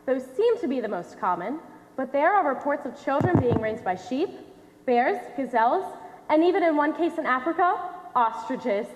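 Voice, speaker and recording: A young woman playing an earnest scientist in a stage play, giving a formal presentation with projected, deliberate delivery. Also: high-pitched, big pitch swings, background noise. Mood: measured, explanatory. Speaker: stage actor in a high school one-act play. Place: on stage in a large dark auditorium. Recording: echoey theater hall, long reverb.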